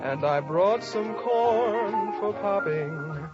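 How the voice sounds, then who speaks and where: A man's voice: sits high, expressive, drawn-out words, loud music behind the voice. A man singing a 1940s popular song in an old radio style. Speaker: singer. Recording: dull old radio recording. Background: musical instruments.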